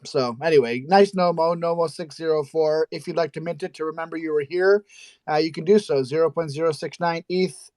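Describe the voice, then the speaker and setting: Guy hosting a loose audio chat, chatty, kinda high voice, dry mic. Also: darkish tone, dry recording. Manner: chatty, laughs often. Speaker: host. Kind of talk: casual live audio chat.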